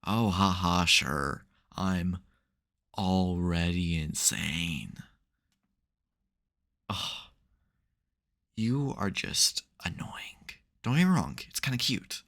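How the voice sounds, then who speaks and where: A man's voice: deep voice, lively intonation, very slow, vocal fry, long pauses, fairly bright tone, full character voice. A man playing a smug, theatrical supervillain who secretly cares, in a dramatic, wry, chatty way for an ASMR roleplay. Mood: wry exasperation.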